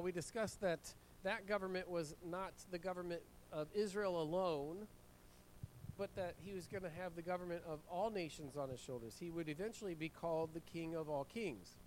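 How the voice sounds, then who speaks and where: A man preaching to his congregation, his voice a little higher than most and roughened by a cold. He keeps clearing his throat between steady sermon lines. A faint low rumble sits under the recording in the church room. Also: poor audio. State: hoarse from a cold. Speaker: pastor. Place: church sanctuary. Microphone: handheld mic.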